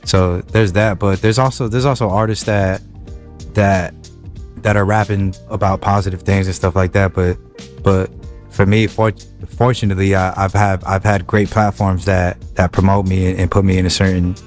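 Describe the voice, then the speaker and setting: Low-voiced young man, halting and repetitive. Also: flat delivery, soft background music. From Wilmington, California. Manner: halting, many repeated words and restarts. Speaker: guest rapper. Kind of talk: casual interview chat.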